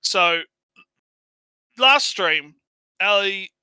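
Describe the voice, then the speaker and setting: Guy co-hosting a stream, really bright forceful voice, kinda high, rambles and repeats himself. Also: lively intonation, very slow, clean tone, dry recording. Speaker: livestream co-host. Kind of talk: casual livestream banter.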